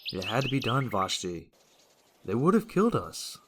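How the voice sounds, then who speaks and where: A man's voice: medium pitch, sing-song, moderate pace, heavy background noise, natural voice. A man playing an earnest, sensible archer hero who is a little bumbling with words, in a fantasy audio drama. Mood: consoling.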